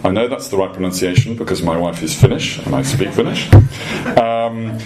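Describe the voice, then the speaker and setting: Low-voiced man presenting, noisy recording. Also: normal speed. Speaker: guest speaker, industry association executive. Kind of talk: prepared policy presentation to a live room. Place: event room at a dinner debate. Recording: clearly noisy live event recording.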